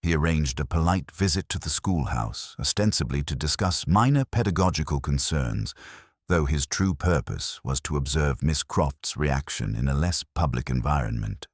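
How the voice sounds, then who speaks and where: Very deep-voiced man narrating a mystery. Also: animated, husky, dry recording, clean studio sound. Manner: measured, literary, formal phrasing. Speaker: audiobook narrator. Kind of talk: scripted narration of a mystery story.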